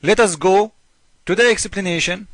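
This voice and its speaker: A high-pitched man with non-native English delivers informal religious polemic in a dry, close sound. He is mocking and theatrical, repeating his points and playing both sides of an argument. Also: moderate pace, fairly bright tone, dry recording. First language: not English. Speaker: host of a religious polemic video. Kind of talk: informal polemical commentary on scripture.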